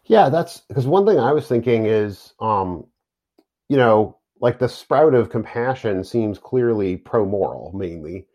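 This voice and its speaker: A man's voice: on the low side, expressive, measured pace, dark tone. A man thinking aloud in a casual academic discussion, musing in a conversational way. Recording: dull lo-fi audio.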